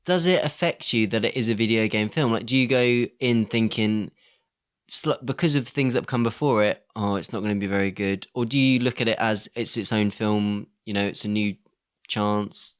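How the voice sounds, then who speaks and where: A man's voice: lowish voice, moderate pace, smooth. A man co-hosting a podcast, talking conversationally and inquisitively in a casual discussion. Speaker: podcast co-host. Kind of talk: casual discussion, posing questions.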